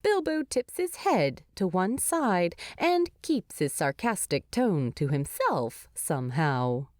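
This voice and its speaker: Dry-sounding woman narrating with lively pitch. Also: slow, no echo. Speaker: narrator of fan fiction. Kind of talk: expressive scripted story narration.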